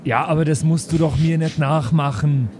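Man acting out a male teacher, exasperated, halting, raised pitch, improvised audio comedy. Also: steady tone, a little mellow, slight background noise, lightly acted. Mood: patient, strained. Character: exasperated male schoolteacher struggling with unruly class.

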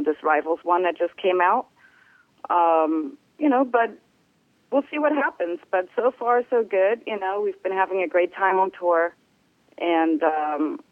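A woman from Germany, a bass player, answering interview questions in a relaxed, hesitant way with many fillers. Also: no room sound, phone-quality sound. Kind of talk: casual phone interview.

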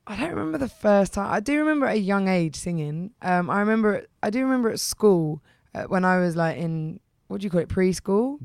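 A woman singer with a husky, rough voice, hoarse today, chatting casually in an interview and saying "like" a lot. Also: medium pitch, animated, darkish tone, no echo, studio quality. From England. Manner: chatty, repeats "like", quick restarts. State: hoarse from heavy use. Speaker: singer, guest.

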